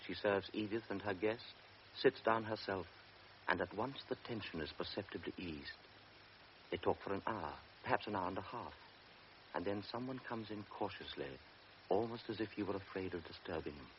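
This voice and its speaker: Low male narrator, measured old radio drama storyteller. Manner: measured, present-tense storytelling. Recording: dull old radio recording with faint hiss.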